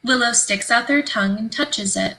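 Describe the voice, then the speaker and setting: A woman narrates a play's stage directions from the script. Her voice is bright and crisp-edged, sitting slightly high. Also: moderate pace, slight background noise.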